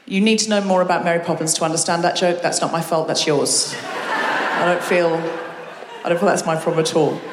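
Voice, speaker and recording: Woman comedian, fairly high voice, quick teasing crowd work, echoey concert hall with long reverb. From Australia. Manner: quick, teasing, chatty with audience. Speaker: comedy podcast host. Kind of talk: live stand-up crowd work. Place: large concert hall with live audience. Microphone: stage microphone.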